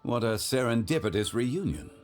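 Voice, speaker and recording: An actor playing a dignified, scholarly gentleman consultant in a video game, speaking in a measured, formal and courteous way. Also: medium pitch, lively intonation, faint music behind, natural voice. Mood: gracious.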